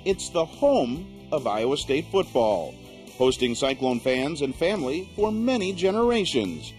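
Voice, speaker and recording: A man's voice: fairly high pitch, very animated, a little slow, a little mellow. A man narrating a scripted sports feature in a dramatic, sweeping way. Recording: voice-over with music.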